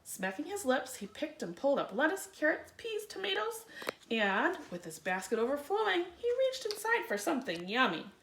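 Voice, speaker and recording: A woman reading a children's picture book aloud in an expressive, theatrical way with dramatic emphasis. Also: sits high, moderate pace, vocal fry, a little hiss or hum. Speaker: children's story reader. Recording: roomy, echoey room sound.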